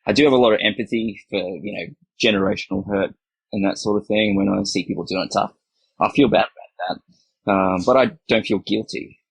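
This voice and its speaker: Gravelly low man from Scotland, host, measured and agreeable. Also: steady tone, no room sound, poor audio. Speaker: podcast host. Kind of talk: casual podcast discussion.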